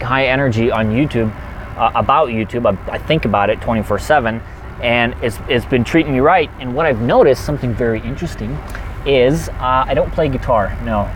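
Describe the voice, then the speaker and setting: Upbeat truck-driving man hosting a casual live chat, mid pitch, faint road noise. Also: rough recording. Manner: energetic, chatty, fast-talking. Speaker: truck driver livestreaming. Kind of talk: casual livestream chat reading viewer comments.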